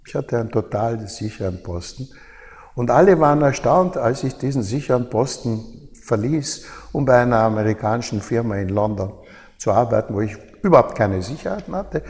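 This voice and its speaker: An older man with an Austrian accent recalls his life in an interview, haltingly, often repeating and rephrasing as he goes. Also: mid-range voice, animated, darkish tone. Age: older, over 70. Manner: halting, repeats and reformulates phrases. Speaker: interviewee, former journalist. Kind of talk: reflective interview recollection. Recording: long reverb of a hall.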